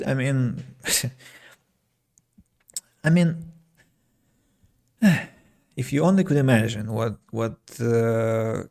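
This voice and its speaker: A man, his voice gravelly with vocal fry, talking alone on his podcast. He reflects on listeners' comments as an English teacher, with plenty of fillers and false starts. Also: mid-range voice, a little mellow. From Russia. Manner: reflective, reads listener comments aloud, frequent fillers and restarts. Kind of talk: solo podcast talk.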